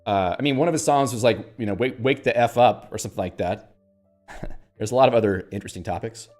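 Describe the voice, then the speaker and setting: A man's voice: on the low side, brisk, a little mellow, faint music behind. A man hosting a casual livestream, chatting in a rambling way with fillers and restarts and reading out viewer comments. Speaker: livestream host.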